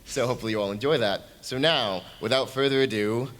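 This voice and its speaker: Mid-pitched man presenting at a panel. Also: quick pace, a bit bright. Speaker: panel presenter, audio drama creator. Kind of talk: casual convention panel presentation. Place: live convention panel room.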